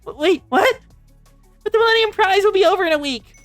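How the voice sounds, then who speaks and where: A woman voicing an excitable, desperate and emotional schoolgirl from a game, in high, exclamatory outbursts. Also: fast, no echo, soft background music. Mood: panicked.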